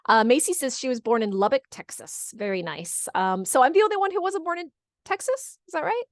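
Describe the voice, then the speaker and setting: A woman's voice: high voice, big pitch swings, fairly quick, no room sound, studio quality. A middle-aged woman from California chatting casually as a webinar host, encouraging people and repeating answers back. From California, United States. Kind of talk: casual moderated chat, reading out audience comments. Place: online video call.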